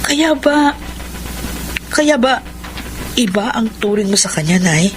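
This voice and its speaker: A woman's voice: on the high side, expressive, takes it slowly, noisy background. A woman acting an earnest, troubled young adult daughter, emotional and insistent, in a Filipino radio drama. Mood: upset, demanding.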